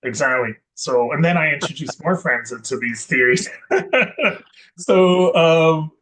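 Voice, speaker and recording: A man from Scotland, a guest investor chatting in an interview, rambling and correcting himself with many pauses and restarts. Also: on the high side, expressive, fairly bright tone, slight background noise, low-quality recording. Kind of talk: casual interview chat.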